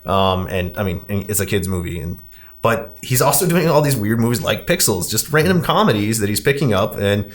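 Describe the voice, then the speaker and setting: A man's voice: lowish voice, big pitch swings, fairly quick. Man chatting casually on a podcast. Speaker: podcast host. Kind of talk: casual film discussion, recapping.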